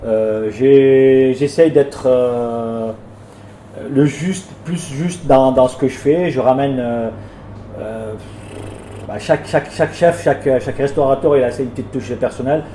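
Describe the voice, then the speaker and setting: Hesitant man, mellow voice, echoey room. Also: mid-range voice, a little slow, a little hiss or hum. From France. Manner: hesitant, repeats words often, warm and plain. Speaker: restaurant owner. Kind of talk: casual interview answers.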